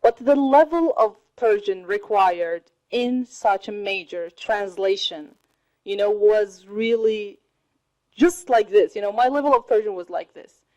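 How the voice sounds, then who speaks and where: A woman in her thirties whose first language is Arabic, giving a slow, deliberate talk to a college audience and laughing often. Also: medium pitch, darkish tone, dull audio. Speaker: student speaker. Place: college hall.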